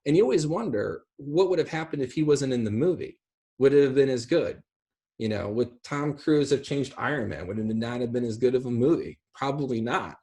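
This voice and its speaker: A man's voice: mid-range voice, expressive, brisk, a little mellow, slightly rough. A man in his thirties chatting casually about films on a video call from home, rambling and emphatic. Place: indoor room at home. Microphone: video call, sitting back from a computer.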